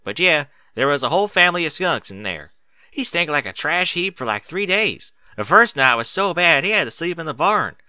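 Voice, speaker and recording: A man's voice: fairly high pitch, very animated, normal speed, bright, forceful tone, clean tone, dry recording, studio quality, voice pushed up, exaggerated voice. A man voicing a folksy, teasing farm mare, a bossy big sister, plain-spoken, in a storybook reading.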